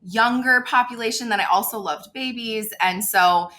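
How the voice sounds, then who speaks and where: A woman's voice: on the high side, moderate pace. A woman in her thirties who grew up in Ecuador, talking casually as an interview guest and filling her speech with "like". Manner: chatty, animated, many "like" fillers. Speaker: guest, labor and delivery nurse.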